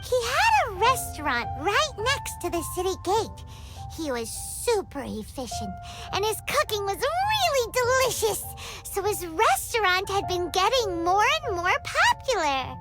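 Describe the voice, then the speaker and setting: A woman voicing a tiny, excitable female fairy companion in a game cutscene, telling a story in a playful, animated way. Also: unhurried, heavily acted. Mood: storytelling. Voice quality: high squeaky childlike voice. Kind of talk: video game cutscene dialogue. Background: loud game music.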